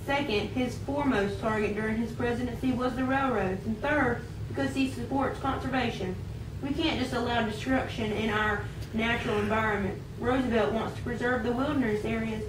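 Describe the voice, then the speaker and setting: A woman's voice: on the high side, fairly even intonation, brisk, lightly acted. A woman playing an earnest old-time American man in an amateur puppet show. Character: earnest 19th-century American man, farmer or reformer. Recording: echoey room, distant mic, clear noise.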